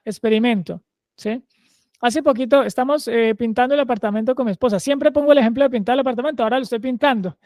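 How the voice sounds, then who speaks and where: A man's voice: very high-pitched, a little mellow, no echo, studio quality. A man with a Caleño Colombian accent, a podcast guest, explaining ideas conversationally and often checking in with his listener. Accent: Caleño, Cali Colombian Spanish. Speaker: guest, agile culture consultant.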